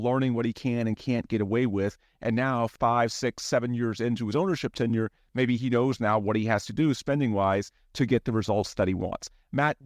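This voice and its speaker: A man with an American accent speaks as a sports podcast host, in a mid-range male voice. He builds his commentary in a measured, explanatory manner, point by point. The recording is dry and free of echo. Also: medium pitch, a little mellow.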